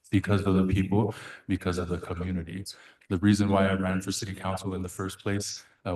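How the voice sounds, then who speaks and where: A man whose first language is Samoan, a city council member giving a heartfelt farewell speech, halting and full of fillers and restarts. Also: sits low. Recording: echoey council chamber.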